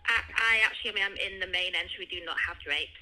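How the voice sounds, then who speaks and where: A woman's voice: on the low side, fast, pushed and bright. A woman working as a receptionist, speaking in a firm, matter-of-fact customer service manner over a phone call. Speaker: casino receptionist.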